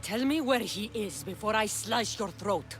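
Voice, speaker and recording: An actress playing a tough, protective Greek mercenary in a video game, with a Greek accent and a direct, resolute manner. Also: female voice, mid-range voice, animated, unhurried, slight background noise, close to an everyday voice. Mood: defiant. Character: tough adult female Greek mercenary warrior, protective and defiant. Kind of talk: video game cutscene acting.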